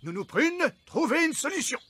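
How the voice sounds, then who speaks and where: A man's voice: very high voice, sing-song, normal speed, pushed and bright, no room sound, full character voice. A man voicing a blustering, self-important fairy king in a cartoon, pompous and impatient. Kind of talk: cartoon voice acting.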